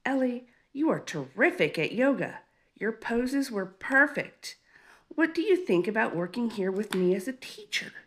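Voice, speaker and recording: A woman's voice: fairly high pitch, very animated, unhurried. A woman voicing a friendly, encouraging rabbit who runs a yoga studio in a children's storybook. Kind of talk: children's storybook read-aloud.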